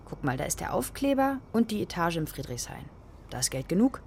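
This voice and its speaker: A woman's voice: on the low side, big pitch swings, fairly quick, gravelly, a little mellow, slight background noise. An actress playing an outspoken woman planning with friends, in naturalistic radio drama dialogue. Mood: dry.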